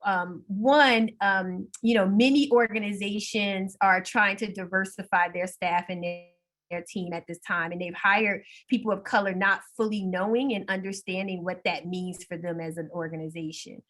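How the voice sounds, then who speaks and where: A woman speaking as a panelist and school district equity leader on a video call, in a measured, thoughtful way with frequent ums. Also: medium pitch, steady tone, fairly bright tone. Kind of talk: virtual panel discussion.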